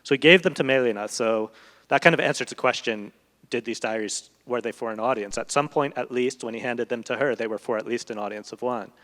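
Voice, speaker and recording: A man speaking as a guest translator in a literary discussion, explaining thoughtfully in long, halting sentences. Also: mid-range voice, fairly bright tone. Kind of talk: literary discussion before an audience. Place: large event hall, live public talk. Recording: echoey hall with long reverb.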